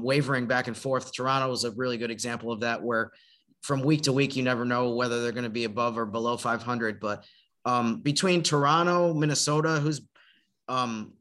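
A man giving conversational sports analysis on a podcast in a measured, explanatory way with careful qualifications. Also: medium pitch, fairly bright tone. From northern United States.

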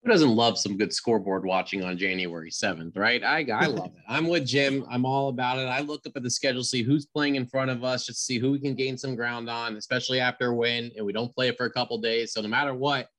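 A man's voice: medium pitch, fairly even intonation, quick pace, hardly any pauses, fairly bright tone, low-quality recording. A man hosting a sports podcast in an upbeat, enthusiastic and conversational way.

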